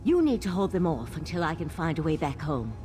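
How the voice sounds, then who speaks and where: A woman's voice: sits low, sing-song, a little fast, darkish tone, slight background noise. An actress playing an urgent, resolute female mystic in a fantasy video game, speaking in a theatrical, incantatory way. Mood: grave, urgent.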